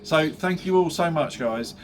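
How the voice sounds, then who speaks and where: A man's voice: on the high side, a little slow, faint music behind. A middle-aged man with a blocked-up nose from a cold, chatting casually to his viewers, joking and chuckling at himself with plenty of ums along the way. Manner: chatty, jokey, laughs at his own jokes, many fillers. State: nasal from a cold. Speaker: motorbike vlogger. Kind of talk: casual chat to camera.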